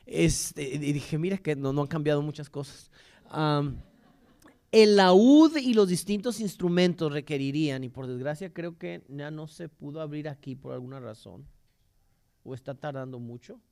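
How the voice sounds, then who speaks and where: A man from Mexico with a Mexican accent speaks as a lecturer in a fairly high voice for a man. His delivery is informal and rambling, halting over repeated words and self-corrections as he explains history. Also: animated, vocal fry, a little mellow.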